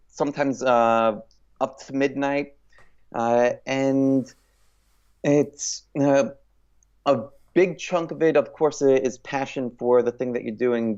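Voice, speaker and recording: A man in his late thirties, a guest in an interview, talking in a reflective, halting way with many pauses. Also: medium pitch, a little mellow, clear, resonant tone, dull audio. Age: 30s. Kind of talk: casual interview chat.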